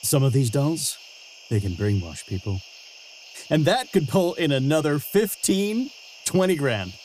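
Scheming, mellow-voiced co-host. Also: mid-range voice, big pitch swings, a little slow, slight background noise. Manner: greedy, scheming, hesitant fillers. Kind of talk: comedic audio sketch.

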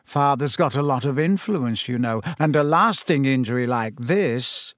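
A man's voice: mid-range voice, animated, unhurried, dry recording, studio quality, natural voice. A man voicing a smug, malicious schoolboy bully in an audiobook, with a drawling, mock-plaintive manner. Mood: gloating. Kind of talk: audiobook character voice.

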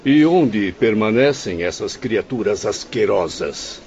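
An actor with a Brazilian accent playing a pompous, disdainful professor in a radio drama. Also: male voice, fairly low pitch, animated, slow pace, vocal fry, a little mellow, heavily acted. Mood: disgusted. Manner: pompous, scornful. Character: haughty, disdainful male professor, a hospital patient. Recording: dull lo-fi radio recording.

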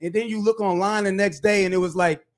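A man with a Miami accent hosting a podcast, talking in a hyped, animated way and laughing often. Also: sits high, fast talker. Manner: hype, animated, laughs a lot. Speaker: podcast host. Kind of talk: casual interview chat.

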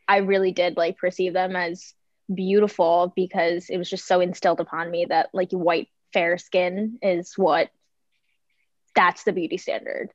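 A woman's voice: on the low side, flat delivery, unhurried, dry recording. Young woman sharing thoughts on a podcast, lots of likes, dry muffled audio. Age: 20s. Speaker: podcast guest. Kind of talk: casual group chat.

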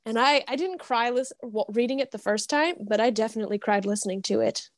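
Casting: female podcast guest, higher-pitched, dry close sound, expressive, enthusiastic casual talk. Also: fairly quick, no echo. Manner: gushing, expressive.

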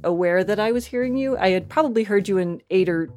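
A woman's voice: medium pitch, quick pace, a little mellow, faint music behind. A woman chatting casually as an interview guest, effusive and self-deprecating, acting out little asides as she tells her stories. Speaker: interview guest, podcast writer and voice actor.

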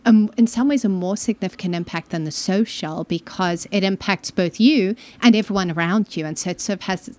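A woman's voice: mid-range voice, fairly quick, hardly any pauses, darkish tone. A woman from Malawi, a university dean, explaining her ideas as a guest in a conversational interview and often checking in with "right?".

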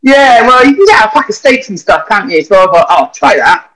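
A man's voice: sits high, big pitch swings, a little fast, fairly bright tone, low-quality recording. A young man, a vet, chatting casually on a podcast and rambling through sweary anecdotes full of fillers.

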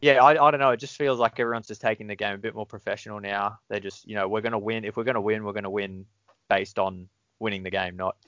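Relaxed host, lowish voice, dull dry recording. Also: male voice, fast talker, clean tone, no echo. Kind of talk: casual conversation.

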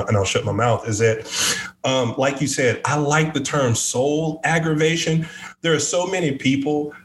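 A man from Arkansas, talking about faith in an animated, joking, preacherly way. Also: medium pitch. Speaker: podcast guest. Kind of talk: casual conversation on faith.

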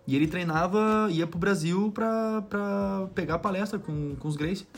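A man's voice: high-pitched, unhurried. A man from Brazil with a southern Brazilian accent, chatting casually and slangily, swearing now and then and acting out what people said. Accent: southern Brazilian Portuguese. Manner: slangy, swearing, acts out quoted remarks. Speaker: barber living abroad as an immigrant. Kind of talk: casual conversational anecdotes.

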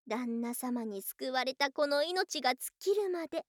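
A voice actress playing an affectionate snake-spirit wife in a Japanese ASMR voice drama, with archaic, coy, excitable speech and open devotion. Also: female voice, sits high, a little slow, no room sound, studio quality. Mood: moved, devoted. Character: affectionate female lamia snake-spirit wife, girlish yet old-fashioned.

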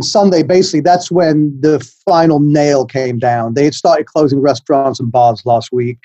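A man's voice: medium pitch, mellow, no room sound, highs cut off. A middle-aged man from England with an English accent, a food writer talking as a podcast guest in a rambling, self-deprecating way with many fillers. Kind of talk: remote podcast interview.

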